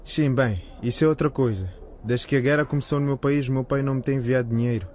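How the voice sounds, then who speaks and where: A man's voice: mid-range voice, fast, a little mellow, close to an everyday voice. A man playing an evasive, worried teenage schoolboy in a colloquial radio drama. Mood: anxious. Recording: faint background noise.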